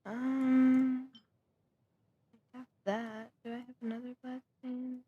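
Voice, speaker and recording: A woman's voice: high voice, little pitch movement, takes it slowly, narrow, tinny audio. A woman streamer thinking aloud during gameplay, murmuring hesitantly with many ums. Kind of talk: casual gameplay commentary.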